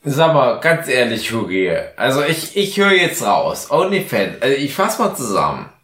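A man's voice: medium pitch, huge pitch swings, moderate pace, fairly bright tone. A man with a mid-range voice hosts a casual podcast while tipsy. He rambles and slips into mock-formal phrasing. The room around him is audibly echoey. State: tipsy from mixed drinks. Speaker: podcast host. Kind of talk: casual, bantering podcast chat.

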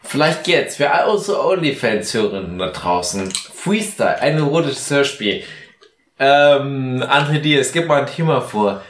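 A man's voice: mid-range voice, expressive, moderate pace, a bit bright, reverberant. A man co-hosting a podcast, drunk, bantering casually in a theatrical, clowning way. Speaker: podcast co-host.

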